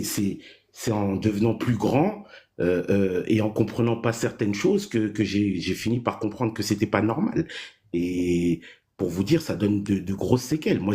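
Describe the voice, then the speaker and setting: A man raised in France telling his childhood story as a guest, halting with many restarts. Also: fairly low pitch, a little mellow. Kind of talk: personal testimony.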